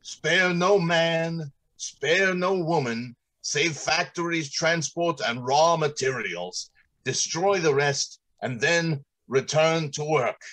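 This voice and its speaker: An actor playing a stern, flustered factory director in old-time radio drama style, with halting, dramatic delivery. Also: male voice, on the high side, a bit bright. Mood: grave, deliberate. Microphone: video call.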